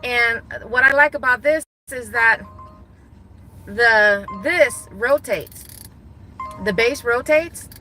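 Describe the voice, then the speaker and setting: A woman from Guam chatting casually to viewers from her car, thinking out loud and laughing easily. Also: a little high, slow, pauses often, soft background music, low-quality recording. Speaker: gig delivery driver vlogger. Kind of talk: casual talking to viewers while driving. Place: inside a parked car.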